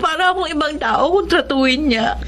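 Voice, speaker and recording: A woman's voice: sits high, animated, moderate pace, a little hiss or hum. An actress with a Filipino accent playing a sensitive, heartbroken young woman in an emotional radio drama. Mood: hurt, tearful. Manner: emotional, plaintive. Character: heartbroken young woman, sensitive and self-pitying, confiding her troubles. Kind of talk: Filipino radio drama acting and letter narration. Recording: loud music under the voice.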